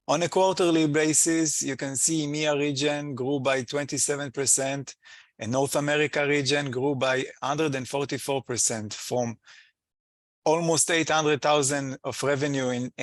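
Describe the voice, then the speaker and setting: A man presenting company financial results as an executive on an earnings call, in a halting, hesitant delivery with slightly non-native phrasing. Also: mid-range voice, no echo, studio quality.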